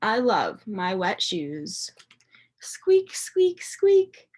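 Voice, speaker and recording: A woman reading a picture book to young children like a teacher, expressive and questioning, with dramatic emphasis and a slightly husky voice. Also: medium pitch. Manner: animated, expressive storytelling with dramatic emphasis and pauses. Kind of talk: interactive children's read-aloud, asking questions.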